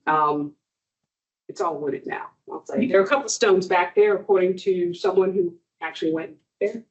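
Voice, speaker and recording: A woman's voice: lowish voice, moderate pace. A woman historian giving an informal lecture to an audience, talking loosely with frequent ums and restarts. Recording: dull, muffled lo-fi sound.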